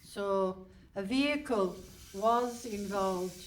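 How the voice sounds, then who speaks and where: A woman's voice: mid-range voice, slow, lightly acted. A woman playing a brusque, dry-witted, impatient police detective inspector in a comic radio play. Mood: impatient, sardonic. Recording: live hall stage, distant mic, faint noise.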